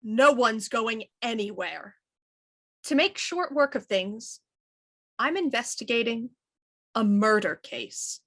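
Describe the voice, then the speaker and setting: An actress playing a composed, sharp-witted female inspector in a 1920s radio mystery, speaking crisply and dryly. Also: fairly high pitch, lively intonation, slow pace, long pauses, heavily acted. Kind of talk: 1920s radio drama acting.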